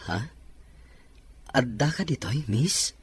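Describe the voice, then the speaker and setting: A man's voice: mid-range voice, animated, slow, no room sound, heavily acted. A man with a Filipino Ilocano accent playing a polite, humbled young son looking for work in an amateur audio drama. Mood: polite, hesitant. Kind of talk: amateur radio drama acting. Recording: clear background noise.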